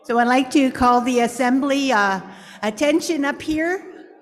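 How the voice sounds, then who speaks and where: A woman's voice: high voice, measured pace. A woman chairing an assembly, introducing agenda items and presenters with hesitant fillers. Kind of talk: chairing a meeting. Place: large assembly hall. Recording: long hall reverb.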